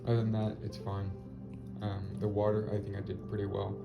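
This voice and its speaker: Raspy-voiced man with gravelly low voice. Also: completely flat delivery, a little fast. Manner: hesitant, many ums and restarts. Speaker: spray paint artist. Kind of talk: casual video commentary. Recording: muffled audio with loud music behind. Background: loud background music.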